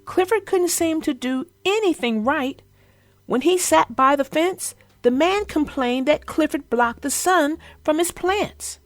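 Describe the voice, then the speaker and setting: A woman voicing an earnest young girl who tells about her dog in a simple, expressive storybook read-aloud. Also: sits high, measured pace, no echo.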